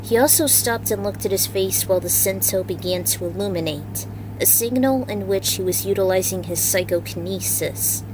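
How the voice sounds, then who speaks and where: A woman's voice: mid-range voice, normal speed, hardly any pauses, background noise. A woman hosting and reading a story aloud in a casual, informal, unpolished way. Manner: informal, unpolished, swears freely. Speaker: host and reader of own fan fiction series.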